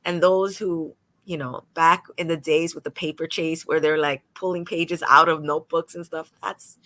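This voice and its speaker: A woman's voice: sits low, moderate pace, a little hiss or hum. A woman lawyer from New York speaking on a panel, telling stories animatedly and acting out what people said. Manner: animated storytelling, acts out quotes. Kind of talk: panel discussion.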